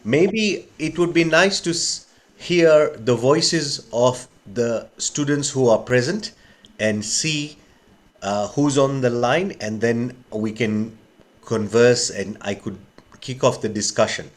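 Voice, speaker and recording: Mid-pitched man leading an online seminar. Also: takes it slowly. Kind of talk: conversational, polite facilitating.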